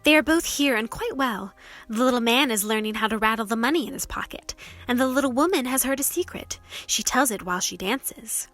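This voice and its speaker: A woman's voice: fairly high pitch, lively intonation, brisk, fairly bright tone, soft background music. A woman voicing a cheerful village girl in a storybook, breezy, teasing and unconcerned. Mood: brisk.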